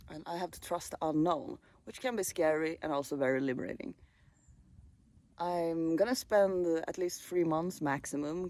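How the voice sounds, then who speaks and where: Brief: late-twenties man from Sweden, travel vlogger, slightly higher voice, relaxed and musing, filming on his phone outdoors. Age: late 20s. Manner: relaxed, musing, with fillers and pauses. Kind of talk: casual vlog monologue. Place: countryside caravan site.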